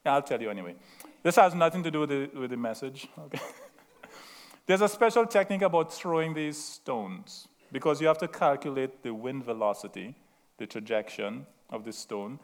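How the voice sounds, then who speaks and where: A man's voice: medium pitch, animated, moderate pace. A middle-aged man with a Trinidadian accent, preaching a sermon in a chatty, self-mocking way and often checking in with the room. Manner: chatty, laughing at himself, asks the room "right?". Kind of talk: sermon with asides and stories.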